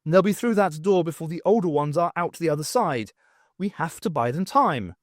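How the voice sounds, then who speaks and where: A man's voice: on the high side, expressive, moderate pace, dry recording, clean studio sound, voice pushed up, lightly acted. Grim academic ready for a fight, read by a male narrator: terse, grave storybook delivery. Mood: grim, urgent. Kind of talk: audiobook character voice.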